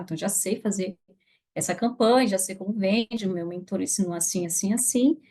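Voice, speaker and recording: A woman's voice: fairly high pitch, mellow. A woman who works as an affiliate marketer, a guest on a podcast, explaining her work in casual chat and acting out her own past reactions.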